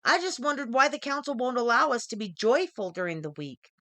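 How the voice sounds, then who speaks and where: A woman's voice: a little high, big pitch swings, fairly quick, a bit bright, no echo. A woman voicing a cheerful, playful young female worker full of curiosity in a story reading. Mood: curious. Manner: playful, questioning. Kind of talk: acted dialogue in short story reading.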